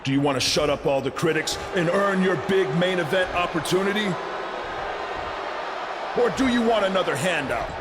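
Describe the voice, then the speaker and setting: An arrogant wrestling villain in a game. He taunts and threatens in a slightly high voice, with clear noise around him. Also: expressive, normal speed, long pauses. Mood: goading. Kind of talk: video game cutscene acting.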